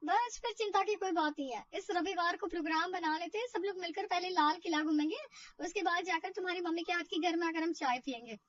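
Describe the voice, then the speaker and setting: A woman's voice: very high-pitched, fast, fairly bright tone, far from the mic, muffled recording. A woman voicing a kindly, easygoing middle-aged aunt in a family drama story, chatty and reassuring.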